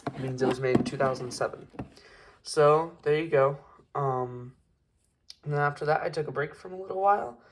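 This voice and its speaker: A young man giving a casual, halting, informal product showcase as a hobbyist reviewer. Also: fairly high pitch. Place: carpeted room at home.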